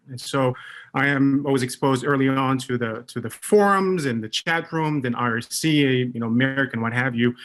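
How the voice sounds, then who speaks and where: A man's voice: mid-range voice, a bit bright. A man in his thirties from Morocco, speaking as a guest on a panel webcast, with many fillers and restarts. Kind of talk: casual panel chat.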